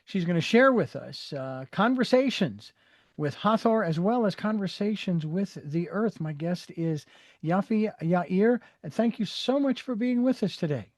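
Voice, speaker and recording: A man's voice: sits high, expressive, unhurried, dry recording, clean studio sound. A man hosting an interview show, speaking in a rambling way with many fillers and restarts. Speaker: podcast host.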